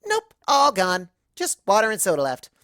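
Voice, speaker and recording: A woman voicing a perky, excitable, party-loving young female cartoon pony who narrates her own story. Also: mid-range voice, normal speed, no room sound, clean studio sound. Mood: excited, cheerful. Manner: perky, excitable, big swoops. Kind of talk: first-person fan fiction narration in character.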